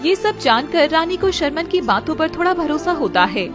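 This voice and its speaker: A woman's voice: high-pitched, very animated, normal speed, over music. A woman working as a story narrator, reading scripted narration in a dramatic style.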